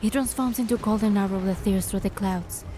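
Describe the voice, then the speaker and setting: A woman's voice: medium pitch, fairly quick, dark tone, slight background noise, close to an everyday voice. A woman voicing a proud goddess in a game, delivering dramatic, solemn scripted narration. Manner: solemn, storytelling. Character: wounded goddess narrating her suffering with pride.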